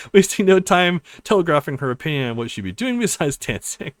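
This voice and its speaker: Man, let's play host, expressive story reading, casual asides, highly varied intonation, dry recording. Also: moderate pace, dry recording. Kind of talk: reading a visual novel aloud with casual reactions.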